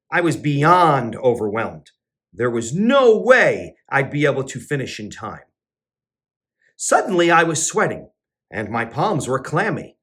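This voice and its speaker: A man, a teacher and children's entertainer, reading a picture book aloud with expressive storybook narration. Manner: expressive storybook narration with sing-song pitch swings.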